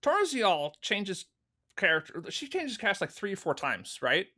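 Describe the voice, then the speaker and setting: A man's voice: high voice, wildly swooping pitch, a little slow, pushed and bright. A man speaking as an interview guest, explaining things in detail and with enthusiasm in a conversational way. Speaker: guest, fan audio drama producer.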